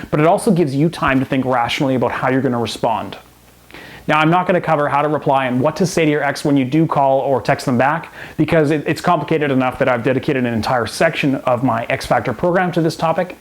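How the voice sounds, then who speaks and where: Man, slightly high voice, instructive, scripted advice-video delivery, addresses the viewer directly. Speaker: relationship coach and video host.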